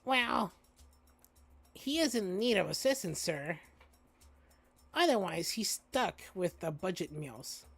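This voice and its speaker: A streamer voicing a prim, eager female dinosaur high school student in a game, sugary and formally polite. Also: lively intonation, slow, gravelly, long pauses, fairly bright tone, breathy edge, faint music behind, heavily acted. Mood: prim, insistent. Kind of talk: visual novel character voice read aloud.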